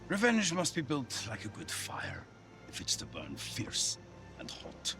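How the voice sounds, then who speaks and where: A brooding male Viking set on revenge, in a game drama. He speaks slowly and grimly at a middle pitch, measured but animated, with faint music behind. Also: heavily acted. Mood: grim, calculating. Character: brooding, vengeful Viking warrior. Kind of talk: dramatic video game acting.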